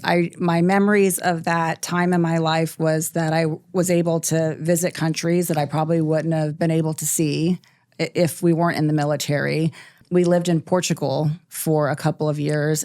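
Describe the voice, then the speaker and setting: A middle-aged woman, a company president, telling stories from her childhood in a relaxed, thoughtful interview with many pauses. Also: low-pitched, creaky, dry recording, clean studio sound. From born in Iceland, military family. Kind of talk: podcast interview, reflective storytelling.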